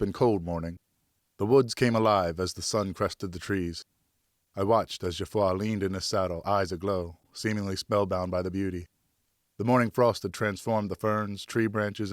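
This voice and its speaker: A male audiobook narrator with a fairly deep voice. He tells a historical story in the first person, expressively and deliberately, in a very dry recording. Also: no room sound. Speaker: audiobook narrator, professional voice actor.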